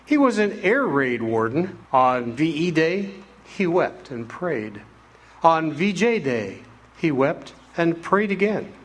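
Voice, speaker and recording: A man's voice: on the high side, sing-song, slow, heavily acted. A man playing a kindly senior angel who narrates the story in an amateur stage radio drama, in a folksy storytelling manner.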